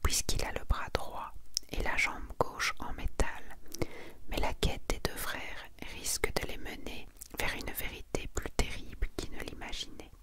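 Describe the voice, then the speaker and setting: A woman presenting and reading summaries in a soft, breathy whisper, as an ASMR host, with a gentle, unhurried delivery.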